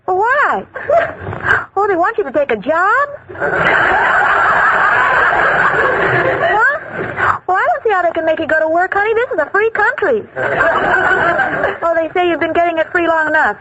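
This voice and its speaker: A woman's voice: very high-pitched, lively intonation, normal speed, pauses often. An actress playing a naive, lovestruck young American woman in an old radio comedy, speaking with earnest, literal-minded innocence. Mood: chatty. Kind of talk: 1940s radio comedy acting. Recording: very noisy, muffled 1940s radio recording.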